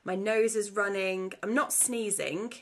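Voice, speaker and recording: A woman's voice: mid-range voice, fairly even intonation, measured pace. A young woman, chatting casually to camera at home, rambling with lots of fillers, her nose blocked and congested. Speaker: vlogger.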